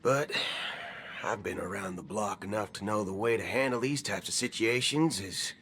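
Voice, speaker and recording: An actor playing a folksy, swaggering space cowboy in a video game, with a theatrical American Southern drawl. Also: male voice, on the low side, moderate pace, fairly bright tone, a little hiss or hum. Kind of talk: video game character acting.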